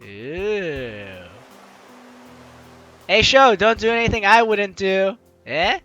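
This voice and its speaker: A man hosting a game playthrough, chatting in animated asides as he comments and reads along. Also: sits high, slow, lo-fi sound, faint music behind. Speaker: let's play host. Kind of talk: game commentary mixed with reading dialogue aloud.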